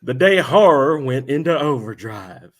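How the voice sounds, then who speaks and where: A man with a cold and a croaky voice, co-hosting a casual podcast chat and mocking himself. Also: medium pitch, animated, unhurried. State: hoarse from a cold. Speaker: podcast co-host.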